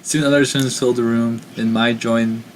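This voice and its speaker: A man's voice: medium pitch, little pitch movement, a little fast, slight background noise, rough recording. A man hosting a let's play, reading a visual novel's narration aloud and adding commentary.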